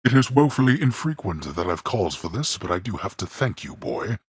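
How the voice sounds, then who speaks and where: A man playing a stern, imperious male lord of the underworld in a video game, speaking in a cold, disdainful, formal manner with a very husky, gravelly voice. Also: low-pitched, very animated, normal speed, dry recording, clean studio sound, exaggerated voice. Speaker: voice actor.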